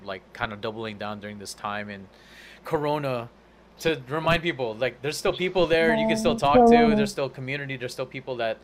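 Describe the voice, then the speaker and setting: A man's voice: medium pitch, animated, a little fast, a crowd in the background. A man hosting a live podcast, rambling through his thoughts with many fillers and restarts. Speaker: podcast host. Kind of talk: casual podcast chat.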